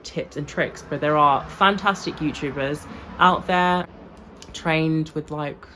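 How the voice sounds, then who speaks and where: A woman's voice: sits low, a bit bright, slight background noise. Chatty young woman vlogger. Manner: chatty and loose, lots of fillers and asides. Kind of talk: casual chat to camera.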